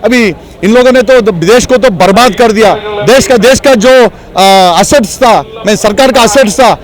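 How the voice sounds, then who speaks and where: A man from answering a reporter at a street protest, halting and stammering, with English fillers mixed in. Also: sits high, rough recording. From Karnataka, India. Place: outdoor street protest in a city.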